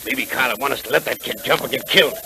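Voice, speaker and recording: An actor playing a tough, blustering western henchman, gruff, curt and slangy, in 1930s radio style. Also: medium pitch, rapid-fire, close to an everyday voice. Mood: menacing. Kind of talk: 1930s radio crime-adventure acting. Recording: hissy vintage 1930s radio transcription.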